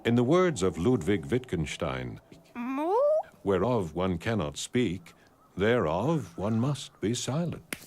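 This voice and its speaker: A man narrating an animated storybook tale in a wry, deadpan, scripted storyteller's manner. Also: on the low side, slow pace. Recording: music behind the voice. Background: music.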